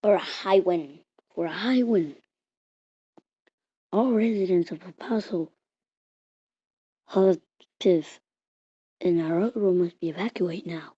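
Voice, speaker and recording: A woman reading out an improvised mock emergency alert in a halting way, repeating and restarting her words. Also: fairly low pitch, mellow, husky. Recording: muffled, roomy home recording.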